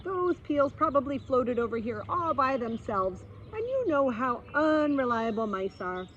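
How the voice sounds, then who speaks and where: A woman's voice: high-pitched, sing-song, unhurried, fairly bright tone, muffled recording, a little hiss or hum, full character voice. A woman voicing a self-assured wild boar in a children's storybook, talking in a breezy, dismissive way. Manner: breezy, dismissive, sure of itself.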